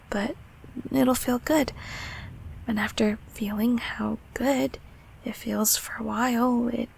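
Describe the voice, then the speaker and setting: A gentle monster from the closet, acted by a woman, affectionate and comforting in an intimate audio drama. The voice is fairly high yet whispery, with a crackle of fry. The delivery is hesitant and soft, meant to reassure. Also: heavily acted. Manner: slow, hesitant, tenderly reassuring.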